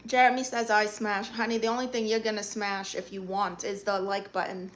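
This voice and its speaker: A woman's voice: mid-range voice, little pitch movement, a little fast, fairly bright tone, a little hiss or hum. A woman from Brooklyn, chatting casually on a live stream, greeting viewers and firing back sassy, playful comebacks to their comments. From Brooklyn, New York. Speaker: livestreamer.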